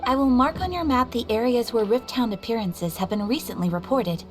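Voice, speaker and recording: A woman's voice: on the high side, brisk, natural voice. An actress playing a courteous, dutiful young female official in a video game, speaking politely, formally and earnestly. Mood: grateful, polite. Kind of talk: video game character acting. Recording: prominent background music.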